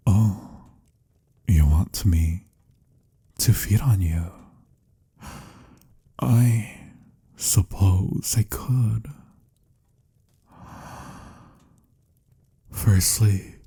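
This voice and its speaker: A man playing a tender, teasing, lovelorn vampire in a romantic ASMR roleplay, speaking intimately and soothingly in a husky, breathy voice that slips into whispers. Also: low-pitched, dark tone, heavily acted. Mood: intimate, coaxing. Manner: intimate, soothing, slightly formal endearments.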